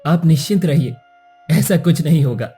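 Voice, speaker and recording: A man's voice: fairly high pitch, moderate pace, dark tone, soft background music, close to an everyday voice. A man playing a principled young prince in a cartoon story, speaking earnestly and formally. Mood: reassuring.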